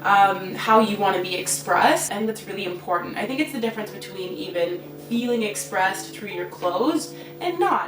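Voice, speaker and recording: A young woman talks easily as a guest, pausing often on "um". Her mid-range voice comes from a little way off in a roomy space, with music behind it. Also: mid-range voice. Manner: relaxed, hesitant with many "um"s. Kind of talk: casual chat. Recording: roomy echo, distant mic, background music. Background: background music.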